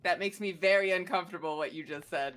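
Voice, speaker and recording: A woman's voice: on the low side, fast talker, a bit bright. A woman chatting casually as a player in a tabletop game, blunt and inquisitive.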